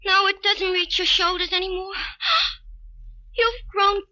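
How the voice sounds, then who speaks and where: An actress playing a frightened young sweetheart in a vintage radio drama, pleading in a breathless, melodramatic way. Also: female voice, extremely high pitch, little pitch movement, a little slow, sharp tone, heavily acted. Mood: fearful.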